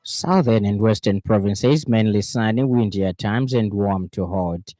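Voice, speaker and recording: A man's voice: fairly low pitch, a little slow, warm tone, soft background music. A man with a Zambian accent reading a formal scripted news bulletin on the radio.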